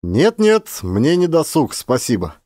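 Burly male village loafer, voiced by a man reading, blunt and offhand, pitch jumping around, audiobook drama. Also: huge pitch swings, a little slow, dark tone, no echo, clean studio sound. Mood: brusque. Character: gruff, brusque, burly male peasant loafer.

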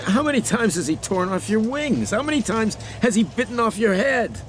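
An actor playing an exasperated, sharp-tongued man in a naturalistic radio drama, blunt and scolding. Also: sits high, expressive, normal speed. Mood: angry, scolding. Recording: faint ambient background noise.

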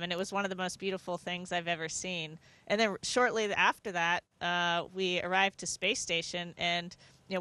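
Bright-voiced, lower-pitched woman explaining. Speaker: astronaut being interviewed. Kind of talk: conversational interview answers, explaining. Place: inside a space station module. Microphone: handheld microphone.

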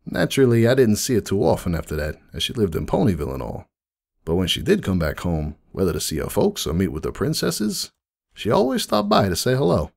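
A man's voice: fairly low pitch, gravelly, darkish tone, full character voice. A man voicing a friendly, nostalgic unicorn donut shop owner in a dramatic fan fiction reading, chatty and folksy like someone reminiscing. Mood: proud, nostalgic.

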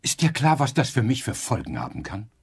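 An actor playing a cautious, thoughtful older professor in a radio drama, speaking earnestly and hesitantly. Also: male voice, medium pitch, wildly swooping pitch, fairly quick, slightly rough, exaggerated voice. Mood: wary. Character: cautious older male professor, father, thoughtful civil servant. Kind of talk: German radio drama acting.

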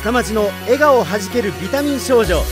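A man's voice: sits high, animated, brisk. Guy announcing anime characters all dramatic, swooping intonation, music playing behind him. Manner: announcer-like, theatrical. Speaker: narrator.

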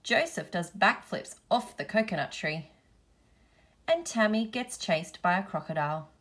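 Female storybook reader, unhurried and deliberate, mid-range pitch, for young listeners. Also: expressive, a bit bright. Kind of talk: children's picture book read-aloud.

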